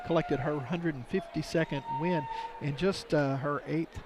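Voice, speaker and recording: A man giving color commentary at a volleyball match, casual and halting. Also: on the high side, poor audio. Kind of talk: sports broadcast commentary.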